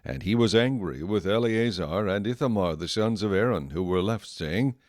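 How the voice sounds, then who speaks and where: A man narrating scripture from a script in a measured, solemn manner. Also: fairly low pitch, animated, dry recording, clean studio sound. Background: music.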